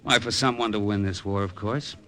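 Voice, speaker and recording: An actor playing a wry, easygoing middle-aged American gambler, speaking in a laconic 1950s radio drama style. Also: male voice, on the low side, brisk, a bit bright, natural voice. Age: 40s. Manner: laconic, breezy, conversational. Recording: vintage radio drama recording.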